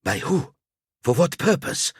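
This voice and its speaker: A man's voice: fairly high pitch, very animated, unhurried, breathy edge, no echo, studio quality, pitched above the performer's own voice, heavily acted. A man voicing an earnest, cautious male knight who speaks with urgent concern.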